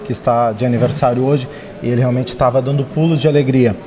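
A man's voice: mid-range voice, steady tone, quick pace, crowd noise. A man in his thirties, a newspaper editor, explaining a story in a live interview with frequent hesitations. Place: open-plan newsroom.

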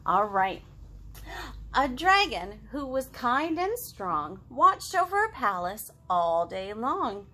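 Animated, bright-voiced woman leading storytime. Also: on the high side, takes it slowly. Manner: sing-song, expressive, exaggerated delivery for small children. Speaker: children's librarian, storytime host. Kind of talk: children's storytime, reading aloud and chatting to young kids. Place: living room at home, indoors.

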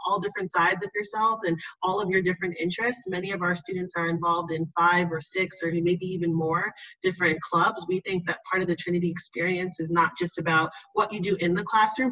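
A woman from New York City presenting as an admissions officer, enthusiastic and full of ums. Her voice is bright and forceful but sits low. It comes across distant and dull, like video call audio.

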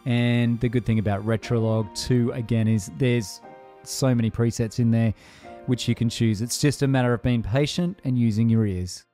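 Male software tutorial narrator, soft-edged warm tone, casual and conversational delivery. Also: mid-range voice, fairly even intonation, normal speed, soft background music. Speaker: tutorial presenter.

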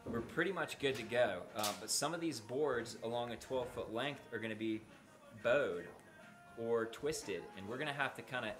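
A man's voice: medium pitch, moderate pace, over music. A middle-aged North American man giving an informal, practical how-to explanation as a builder, in a plain-spoken way. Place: open timber-frame building site. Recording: clear background noise on an open site.

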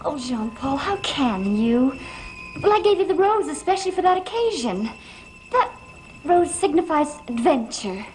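A woman's voice: high-pitched, sing-song, measured pace, darkish tone, rough recording. A young actress playing a playful, wilful socialite in a gothic soap opera, girlish and coaxing. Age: young adult. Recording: old TV soundtrack, music behind.

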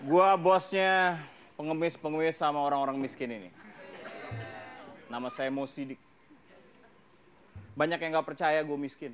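A man's voice: on the high side, steady tone, a little slow, pauses often. A man doing a slangy, self-mocking stand-up routine on a TV stage and acting out little scenes. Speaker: stand-up comedian. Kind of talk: stand-up comedy monologue with acted bits. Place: large TV studio stage with audience. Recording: TV studio with crowd laughter and noise.

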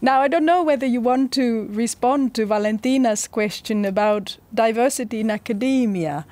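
Middle-aged woman running a studio panel, fairly high voice, calm and measured. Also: dry recording. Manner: measured, reads audience questions. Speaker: moderator. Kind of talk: moderating a panel.

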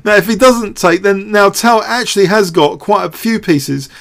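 A man with a somewhat high-pitched voice hosts an analytical commentary. He works things out aloud without a script, hesitating and repeating words as he goes. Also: moderate pace, vocal fry, a bit bright. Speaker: host of a chess show. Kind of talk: off-the-cuff game commentary and analysis.

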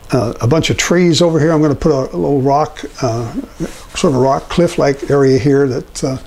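An older man with a raspy voice teaches a painting lesson, talking while he paints, unhurried and halting with many filler pauses. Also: a little high, darkish tone. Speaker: painting instructor. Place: indoor art studio.

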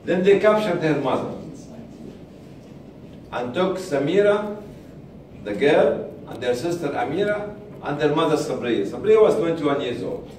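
A man in his sixties telling a story to a group in a deliberate way, repeating and pausing for emphasis. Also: on the high side, lively intonation, a little mellow. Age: 60s. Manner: deliberate, with repetition and pauses for emphasis. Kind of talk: spoken storytelling to an audience. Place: medium-sized indoor seminar room. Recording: distant, very echoey room recording with faint noise.

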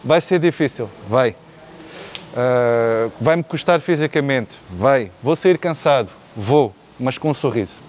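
A man's voice: mid-range voice, animated, slow pace, a little mellow. A man in his forties from Portugal with a Portuguese accent, answering interview questions outdoors in an earnest way, restarting and repeating words as he searches for phrases. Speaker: rally rider guest. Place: outdoor courtyard with artificial grass.

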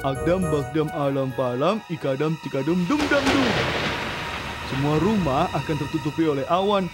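A man's voice: a little high, animated, normal speed, natural voice. A man voicing a goofy, eager magical donkey in a dubbed children's cartoon, with a showy way of talking. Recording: loud music underneath.

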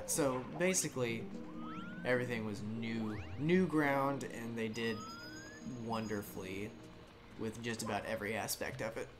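Casual let's-play host, chatty, voice a bit worn, game soundtrack playing loud under him. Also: male voice, medium pitch. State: losing his voice. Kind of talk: casual gameplay chat. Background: game music.

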